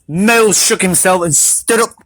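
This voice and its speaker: A storybook reader narrating for children in an animated style. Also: mid-range voice, moderate pace, no room sound.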